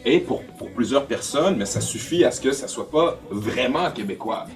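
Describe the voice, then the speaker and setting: A man with a Quebec French accent tells his own story in an animated, swooping voice. He speaks expressively, voicing the remarks he quotes. The mic sits a little far off, with faint music behind him. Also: mid-range voice, normal speed. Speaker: documentary interviewee. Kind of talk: personal storytelling in an interview.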